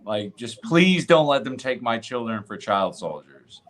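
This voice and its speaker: A man's voice: medium pitch, big pitch swings, a little slow, fairly bright tone. An American man from Tennessee co-hosting a podcast, chatting casually and telling stories in a conversational way. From Tennessee, United States. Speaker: podcast co-host. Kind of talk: casual conversational storytelling and discussion.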